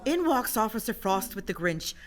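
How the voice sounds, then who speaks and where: A woman's voice: medium pitch, very animated, moderate pace, over a noisy crowd, heavy background noise. A woman giving scripted narration for an audio drama, setting out scenes and stage action.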